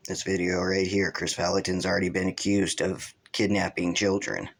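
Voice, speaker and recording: A man's voice: sits low, level tone, normal speed, gravelly. A man hosting his own video channel, giving rambling, accusatory unscripted commentary. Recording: loud vehicle and background noise.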